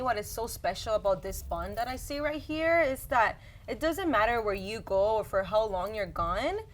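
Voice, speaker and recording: A young adult woman hosting a podcast, chatting in an encouraging way and often tagging her lines with "right?". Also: mid-range voice. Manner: warm encouraging, many "like" and "right?" tags. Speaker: podcast host. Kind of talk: casual heartfelt interview chat.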